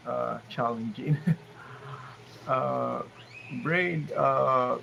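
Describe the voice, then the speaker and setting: Gravelly man. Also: medium pitch, pushed and bright, highs cut off. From Mexico. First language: Spanish. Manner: halting, with frequent restarts and pauses. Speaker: live stream host. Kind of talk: casual live stream chat. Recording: noticeable background noise.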